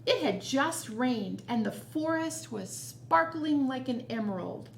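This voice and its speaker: Slow, high-voiced woman reading to children. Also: gravelly. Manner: expressive, with chatty asides. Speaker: library storytime reader. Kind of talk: expressive picture-book read-aloud for children. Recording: roomy echo, noticeable background noise.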